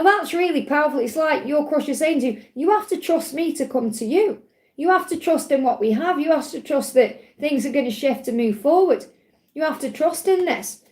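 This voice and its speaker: A woman with a slightly croaky voice, giving gentle spiritual advice on a live stream and often checking in with "okay?". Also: high-pitched. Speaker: spiritual reader answering live chat questions. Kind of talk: live-stream Q&A, casual and reassuring. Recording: echoey room.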